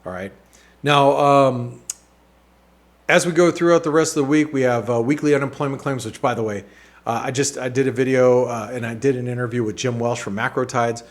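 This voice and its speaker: A man's voice: medium pitch, fairly even intonation, fairly quick. A man hosting a weekly market outlook, talking casually over charts with many fillers, restarts and wry asides. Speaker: market analyst host.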